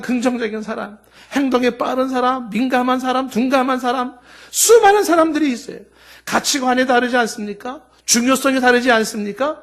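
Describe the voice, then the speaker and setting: A middle-aged male pastor preaching a Sunday sermon, running through long emphatic lists and swinging between loud, drawn-out peaks and soft, low endings.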